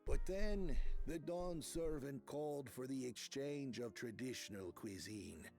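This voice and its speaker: A man's voice: mid-range voice, a little slow, creaky, darkish tone, soft background music. An actor voicing a solemn male narrator who recounts a remembered legend in a game. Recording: game score playing behind.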